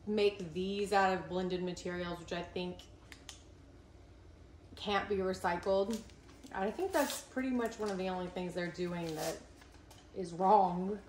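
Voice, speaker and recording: A young woman talks her way through making lunch. She talks slowly and chattily, with wry little jabs at herself. The voice bounces off a hard kitchen, and pans clatter faintly behind her. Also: lowish voice. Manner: chatty, self-mocking asides while cooking. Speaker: vlogger. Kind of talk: casual cooking vlog chat. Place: home kitchen, indoors. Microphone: camera mic from a few feet away. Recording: echoey kitchen, faint cooking noise.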